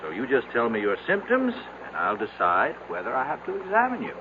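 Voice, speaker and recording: Folksy, lively country doctor. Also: male voice, mid-range voice, a little fast. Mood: wry, easygoing. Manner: teasing, easygoing, colloquial. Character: folksy, wry, unhurried male country doctor. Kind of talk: radio drama acting. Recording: tinny band-limited old radio broadcast, faint noise.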